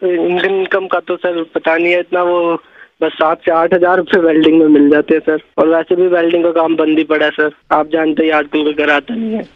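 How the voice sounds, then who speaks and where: A man's voice: on the high side, steady tone, a little fast, dry recording. A young man talking deferentially and hesitantly on a phone call. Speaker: prank call victim. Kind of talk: phone conversation. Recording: tinny narrow phone line.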